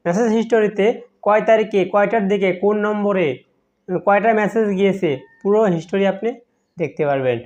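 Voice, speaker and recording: A man's voice: sits high, brisk, a little mellow. A Bangladeshi man hosting a tech tutorial, explaining step by step how to use a phone app. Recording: dull, muffled lo-fi sound.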